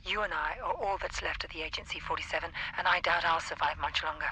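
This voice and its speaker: Agency handler: highish, piercingly bright voice, businesslike, weary, matter-of-fact game briefing. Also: male voice, fairly even intonation, fast, a little hiss or hum, poor audio. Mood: grave, businesslike. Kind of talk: video game cutscene acting.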